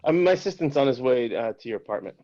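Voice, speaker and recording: A man's voice: mid-range voice, lively intonation, fast, mellow, lo-fi sound, lightly acted. A man reading a brusque, pushy talent agent. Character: pushy fast-talking male talent agent. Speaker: actor at a table read. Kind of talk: script table read.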